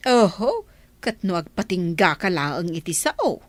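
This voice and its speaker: A woman's voice: lowish voice, big pitch swings, measured pace, dry recording. A woman with an Ilocano accent playing a firm, moralizing older woman in a radio drama. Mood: scolding.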